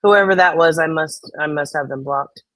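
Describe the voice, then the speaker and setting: A woman's voice: sits low, normal speed, a bit bright, dry recording. A woman co-hosting a casual chat, reading out and reacting to comments in a blunt, opinionated way with some swearing.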